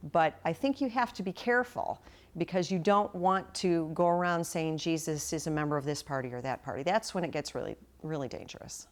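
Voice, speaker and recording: An outspoken American woman, a talk radio host, speaking on air and in interviews. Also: low voice.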